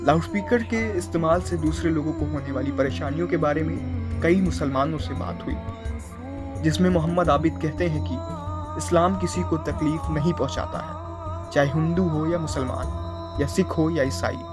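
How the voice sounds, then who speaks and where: Male news voice-over, scripted delivery, higher register, prominent music bed. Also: normal speed. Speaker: news reporter narrating a report.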